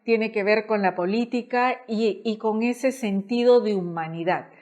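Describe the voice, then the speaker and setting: Slow-talking woman host. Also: mid-range voice. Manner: warm-thanking, measured, uses "diríamos". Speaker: program host. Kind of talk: slow hosting and interviewing.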